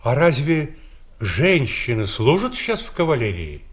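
An actor playing a meek, earnest investigator of sixty in an audio play. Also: male voice, mid-range voice, very animated, a little slow. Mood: meek, puzzled.

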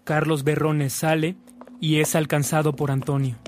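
An actor serving as the sober narrator of a radio drama, reading scripted narration. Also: male voice, fairly high pitch, flat delivery, moderate pace, natural voice.

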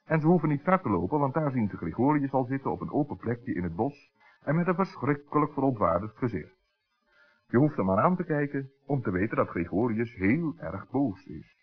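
A man narrating a children's story in 1960s radio style, with a husky voice. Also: medium pitch, very animated, brisk. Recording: tinny old radio recording with music.

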